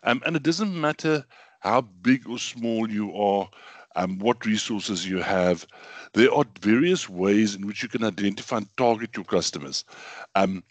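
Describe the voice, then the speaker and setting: A middle-aged man lectures online in a slightly hoarse, lowish voice, talking loosely with many ums and repeated words. His computer mic sounds dry and close, with no room around him. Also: unhurried, dry recording. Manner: casual, filler-heavy, repeats words. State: hoarse from bronchitis.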